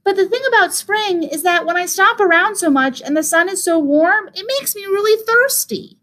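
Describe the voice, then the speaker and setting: Grumpy storybook rabbit played by a woman: male, fretful complainer, high and animated. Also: heavily acted. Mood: resigned. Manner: complaining, drawn-out lead-ins.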